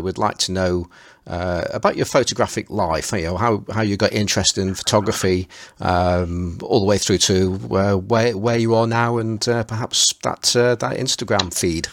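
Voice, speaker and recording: Low-voiced podcast host with halting delivery. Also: male voice, no room sound. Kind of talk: conversational podcast hosting. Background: guitar music.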